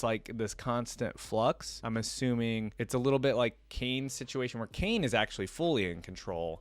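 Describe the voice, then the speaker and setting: Low-pitched man musing in a dry space. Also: dry recording. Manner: musing, thinking out loud. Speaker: host of a reaction video. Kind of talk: reading lore aloud with casual off-the-cuff commentary.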